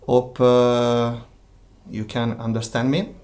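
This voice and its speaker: Italian-accented man, slow-talking livestream host, halting and a little tired. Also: medium pitch, level tone, darkish tone. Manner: slow, halting, many filler pauses. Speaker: board game designer hosting a livestream Q&A. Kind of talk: casual livestream chat.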